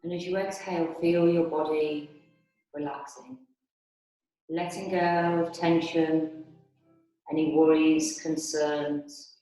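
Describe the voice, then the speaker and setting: Slow, low-voiced woman in an echoey room. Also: soft background music. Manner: calm, soothing, unhurried with long pauses. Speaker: yoga and meditation instructor. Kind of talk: guided meditation and restorative yoga instruction.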